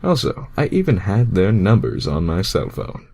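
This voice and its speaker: A man voicing a smug, laid-back cartoon hedgehog who tries to sound mature, speaking slowly and mock-seriously. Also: deep voice, wildly swooping pitch, creaky, mellow, full character voice. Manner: slow, deliberate, mock-serious.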